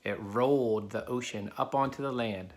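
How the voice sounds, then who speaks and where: A man's voice: mid-range voice, normal speed. A man reading a children's picture book aloud, explaining things simply as he talks to a child. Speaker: adult reading to a child helper. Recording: clear background noise.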